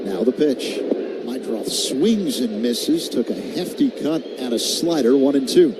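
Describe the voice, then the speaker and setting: A man's voice: mid-range voice, animated, a little slow. A man doing live radio play-by-play of a baseball game in clipped, descriptive phrases. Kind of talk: live radio sports commentary. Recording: loud ambient ballpark noise behind.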